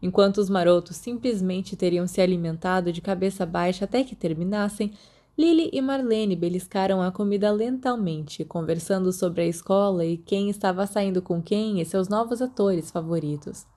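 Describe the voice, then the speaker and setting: Brazilian woman narrating fiction, soft mellow voice. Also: medium pitch, moderate pace. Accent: Brazilian Portuguese. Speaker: podfic narrator. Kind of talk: scripted fiction narration, audiobook reading.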